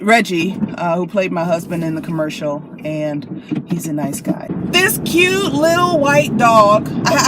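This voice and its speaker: An American woman vlogs casually from inside a car, with clear vehicle noise around her. Her voice sits at an average pitch, and she tells stories in a playful, expressive, animated way. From Chicago, United States. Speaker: vlogger and actress. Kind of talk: casual chatty vlog.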